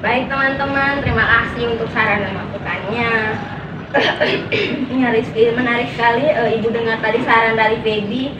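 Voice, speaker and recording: A woman's voice: a little high, fairly even intonation, moderate pace, distant mic. A woman leading a group counseling session, encouraging the others and summing up what they say. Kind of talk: guided group counseling discussion. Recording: echoey, noisy, muffled pickup from afar.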